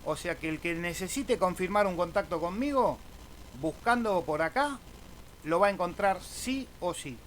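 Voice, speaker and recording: A man's voice: high voice, animated, moderate pace, fairly bright tone. A man giving an informal, casual screen-share tutorial as an amateur radio YouTuber, often tagging phrases with a questioning "eh?". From Argentina. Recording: clear background noise.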